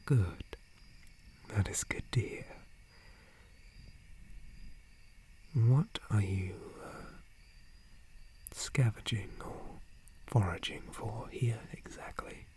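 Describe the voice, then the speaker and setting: A man voicing a gentle, polite dryad guardian in a breathy, formal whisper for an ASMR roleplay. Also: fairly low pitch, takes it slowly, long pauses. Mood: mild, curious. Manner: formal, courteous, hesitant, softly hushed. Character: gentle, polite male dryad, forest guardian tree spirit.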